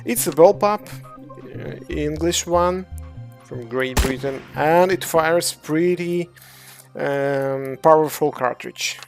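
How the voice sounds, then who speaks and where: A speaker from Ukraine with a Ukrainian accent, a game developer casually walking through models on a livestream and answering chat in halting English full of hesitations. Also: medium pitch, vocal fry, soft background music. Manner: halting non-native English, frequent hesitations.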